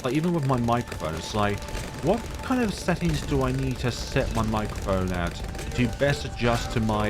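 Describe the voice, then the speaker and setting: A man with a deep voice giving casual, rambling commentary on his own gameplay, full of fillers. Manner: rambling, chatty, many fillers. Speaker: gaming YouTuber. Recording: music playing behind voice.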